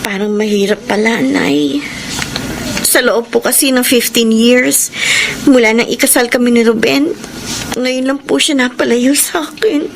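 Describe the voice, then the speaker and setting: A woman's voice: a little high, fairly even intonation, unhurried, noisy background. An actress in a radio drama playing a wife who misses her husband working far away, worried and wistful. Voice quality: plaintive manner.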